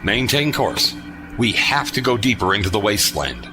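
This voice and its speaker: A man's voice: lowish voice, big pitch swings, music in the background. A man playing a confident, shrewd starship captain in a sci-fi audio drama, explaining and commanding firmly. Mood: assured. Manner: measured explanations, firm commands. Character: confident, shrewd male starship captain, steady under pressure.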